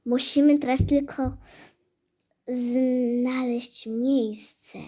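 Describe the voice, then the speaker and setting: A woman storyteller narrating a fairy tale in a halting, hesitant way, with stumbles and repeated phrases. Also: sits high, fairly even intonation, warm tone, breathy edge. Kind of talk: fairy-tale storytelling for a hobby audio play.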